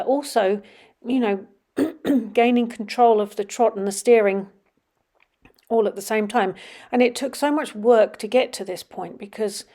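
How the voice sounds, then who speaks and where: A middle-aged woman with a slightly sniffly, runny nose, casually commenting over videos of her children riding, with frequent ums and some quiet murmured asides. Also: medium pitch. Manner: chatty, many ums, drops to quiet murmurs while watching. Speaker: vlogger.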